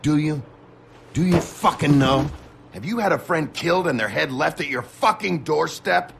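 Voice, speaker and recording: An actor playing a gruff, weary, sardonic detective with a gravelly voice in a dramatic video game. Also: male voice, on the high side, normal speed, background noise. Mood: angry. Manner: terse, sardonic, swearing.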